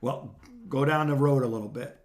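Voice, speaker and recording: Halting older American man telling stories. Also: mid-range voice, a little mellow. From South Florida, United States. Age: 60s. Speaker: podcast co-host. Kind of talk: casual phone chat, rambling personal anecdotes.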